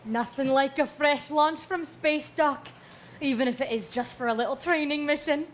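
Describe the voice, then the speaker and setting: A woman's voice: high-pitched, normal speed, natural voice. A woman playing a cheerful, easygoing starship engineer in a comic staged reading. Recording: live stage, faint background noise.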